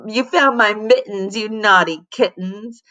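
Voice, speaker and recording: A woman's voice: mid-range voice, unhurried, bright, forceful tone, far from the mic. A woman putting on a cooing, glamorous librarian. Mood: purring delight. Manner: cooing, purring. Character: glamorous, purring, cooing female school librarian.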